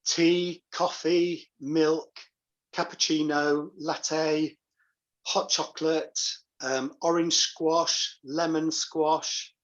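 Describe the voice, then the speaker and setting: A man's voice: fairly high pitch, little pitch movement, very slow. Man chatting, slightly dull lo-fi sound. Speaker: guest, pantomime dame and director. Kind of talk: casual anecdotal chat.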